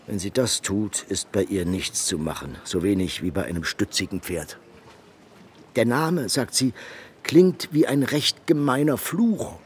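An actor playing a glib, scheming Venetian go-between in a German radio play, talking in a chatty, insinuating and persuasive way. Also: male voice, fairly low pitch, slight background noise. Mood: animated, mocking.

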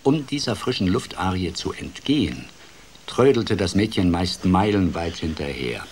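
A man's voice: low voice, normal speed, slight background noise. An actor playing a playful, wry older grandfather telling a fairy tale expressively in a radio play. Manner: expressive, dramatic, shifts between hushed and lively. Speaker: storyteller.

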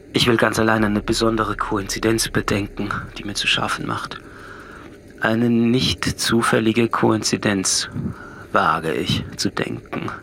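An actor playing a solitary, coolly analytical man near forty, speaking a measured, introspective literary monologue that often sinks to a murmur. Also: fairly low pitch, gravelly, pushed and bright, a little hiss or hum, full character voice. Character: brooding, solitary thirty-nine-year-old man, coolly analytical. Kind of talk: literary radio drama monologue. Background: music.

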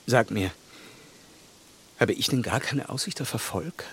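An actor playing an earnest, plain-spoken, lovestruck English gentleman in a German radio play, speaking haltingly and tenderly. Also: mid-range voice, slightly rough, exaggerated voice. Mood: hesitant, pained.

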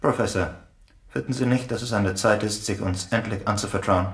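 An actor playing a curious, pressing investigator in a German radio drama, heard a little distant in a room. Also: male voice, lowish voice, brisk, lightly acted.

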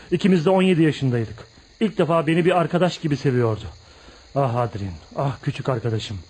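An actor in a radio play voicing an embittered, proud young outcast who confides in a dramatic, emphatic storytelling manner. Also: male voice, fairly high pitch, darkish tone, lightly acted. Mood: wistful, wounded. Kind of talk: radio theatre acting.

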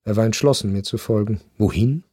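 An actor playing a melancholy aristocrat who narrates a literary radio drama in a brooding, deliberate way. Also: male voice, lowish voice, mellow, slightly rough, no echo, studio quality. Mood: desolate. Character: melancholy Viennese aristocrat recounting his lonely last night. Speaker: first-person narrator. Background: string music.

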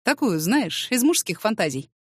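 A woman's voice: mid-range voice, very animated, moderate pace, breathy edge, no echo. A woman voicing a confident, cheeky male boss in an audiobook, with a teasing, seductive manner.

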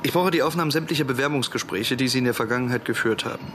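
A man's voice: mid-range voice, rapid-fire, background noise, natural voice. An actor playing a self-assured young male programmer in a radio drama, matter-of-fact and dry. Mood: confident, businesslike. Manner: matter-of-fact, cocky, explaining. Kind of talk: German radio drama acting.

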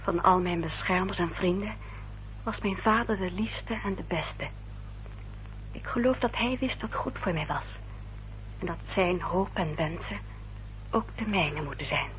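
A woman acting an earnest, dutiful young upper-class lady in a radio drama, speaking formally and with careful, measured diction. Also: fairly low pitch, natural voice. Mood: solemn, composed. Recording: dull, noisy old radio recording with hum.

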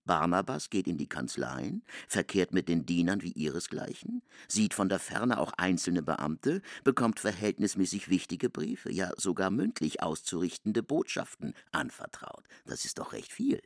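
A man narrates a literary radio drama in a very low, gravelly voice. His delivery is hushed and measured, yet the melody moves a great deal. The sound is close and dry. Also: sing-song, dry recording.

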